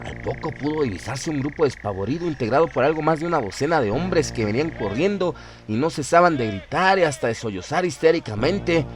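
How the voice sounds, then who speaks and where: A man's voice: fairly high pitch, lively intonation, fairly quick, few pauses. A man narrating a scripted horror radio drama in a theatrical, literary storytelling manner.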